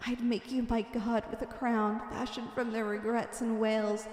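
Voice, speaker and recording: A woman's voice: a little high, level tone, measured pace, a little mellow, lightly acted. A woman playing a wicked, obsessive, lovestruck villainess in an ASMR roleplay, theatrical and florid, drawing out her endearments. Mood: ranting, murderous devotion. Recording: echoey hall-like reverb.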